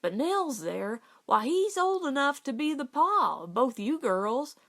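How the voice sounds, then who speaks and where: A woman voicing a hearty, teasing older cowboy boss in an audiobook, with loud, drawling, folksy Western speech. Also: high-pitched, very animated, unhurried, voice pushed up, full character voice. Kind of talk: audiobook character voice.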